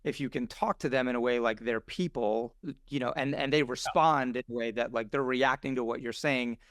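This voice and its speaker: A man talking casually on a podcast in an effusive, earnest and animated way. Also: medium pitch, brisk, no room sound, clean studio sound.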